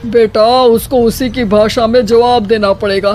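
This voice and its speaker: A woman's voice: on the high side, fairly even intonation, measured pace, darkish tone, lightly acted. A woman voicing a helpless, complaining elderly father-in-law in a cartoon moral story. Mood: exasperated. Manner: complaining, put-upon. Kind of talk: animated moral story dialogue. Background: background music.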